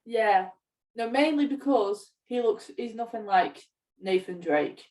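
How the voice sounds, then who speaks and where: A woman's voice: a little high, lively intonation, measured pace, darkish tone. A young woman chatting casually as a podcast co-host. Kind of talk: casual chat. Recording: voice distant from the microphone.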